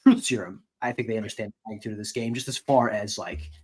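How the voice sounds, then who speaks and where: A man's voice: fairly low pitch, fairly even intonation, quick pace, breathy edge. A man co-hosting a sports podcast, discussing games in a casual, conversational way like a reporter.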